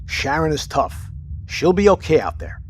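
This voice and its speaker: A no-nonsense military captain in an audio drama, clipped orders, bit high voice, noisy ambience behind. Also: male voice, sing-song, normal speed. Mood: firm, resolved. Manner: commanding, clipped, matter-of-fact. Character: steady, pragmatic military submarine captain leading a mission.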